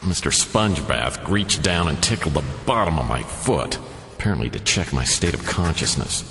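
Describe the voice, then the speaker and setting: An actor playing a wry, world-weary private detective, narrating his own mishaps in a deadpan, self-mocking noir radio play style. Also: a bass voice, normal speed, creaky, full character voice. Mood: wry narration. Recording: long reverb, music behind. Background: music.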